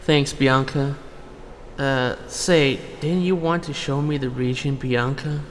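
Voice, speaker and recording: A man's voice: medium pitch, fairly even intonation, slow, natural voice. Man acting as hesitant young newcomer, echoing hall. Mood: shyly eager. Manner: hesitant, polite, subdued. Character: unsure, polite young male newcomer to a hive mind. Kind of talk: scripted fan audio drama acting. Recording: reverberant hall, faint noise.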